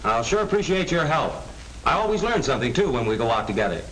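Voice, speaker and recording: A man's voice: mid-range voice, sing-song, quick pace, music in the background, lightly acted. An actor playing an eager, deferential young American car salesman in a 1940 training film. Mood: eager, respectful. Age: young adult. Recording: noisy old 1940 film soundtrack.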